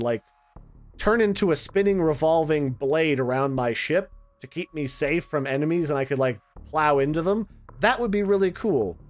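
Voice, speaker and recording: A man's voice: fairly high pitch, dry recording. A man giving casual, chatty and opinionated commentary as the host of a game preview. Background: music.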